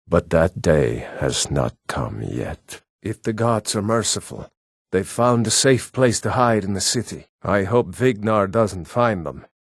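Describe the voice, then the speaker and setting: An actor playing a proud, stern Nord man in a fantasy video game, with a measured, weighty delivery. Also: fairly low pitch, dry recording, studio quality.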